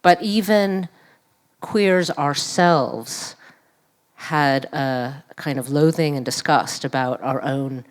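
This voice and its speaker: Low-pitched New York woman speaking. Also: slow. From New York, USA. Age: middle-aged. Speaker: panel speaker, academic and activist. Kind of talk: conversational public talk. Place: live event room at a museum.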